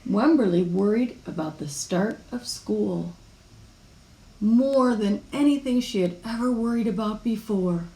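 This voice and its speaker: A woman reading a children's picture book aloud in an expressive style. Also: mid-range voice, measured pace, a little hiss or hum. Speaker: storybook reader. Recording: echoey room.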